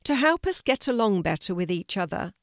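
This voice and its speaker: A woman's voice: medium pitch, very animated, brisk, no room sound, studio quality. A woman voicing an earnest male snake who chairs animal meetings, speaking in an orderly, appealing way.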